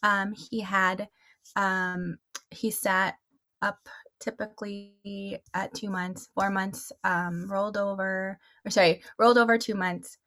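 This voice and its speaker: Slow-talking hesitant woman guest. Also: mid-range voice, flat delivery, bright, forceful tone, dry recording. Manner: hesitant, many fillers and restarts. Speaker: podcast guest. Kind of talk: interview answer.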